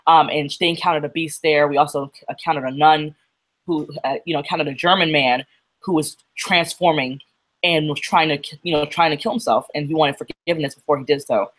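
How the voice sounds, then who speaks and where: A woman co-hosting a casual livestream chat about comics. She is feeling unwell and talks haltingly, with fillers and restarts. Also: deep voice, fairly even intonation, rough recording. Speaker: co-host.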